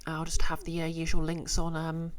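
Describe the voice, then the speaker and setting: A woman's voice: deep voice, monotone, normal speed, slight background noise. A woman streamer chatting casually with her viewers, voice a bit off from a cold, with dry, mock-pompous joking. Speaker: game streamer.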